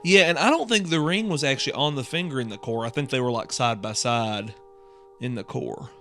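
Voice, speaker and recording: A man talks casually as a podcast co-host, musing and feeling his way through ideas. His pitch sits in a middle range, and music plays under his voice. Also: brisk, sharp tone. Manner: musing, tentative, thinking aloud. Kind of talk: casual discussion of a horror radio drama.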